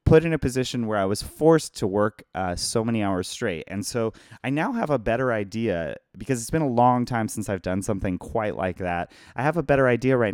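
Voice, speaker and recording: A hoarse, tired man hosting a casual live-streamed podcast from his phone, rambling and chatty as he thanks viewers by name. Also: on the low side, a little mellow, no room sound, clean studio sound. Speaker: podcast host.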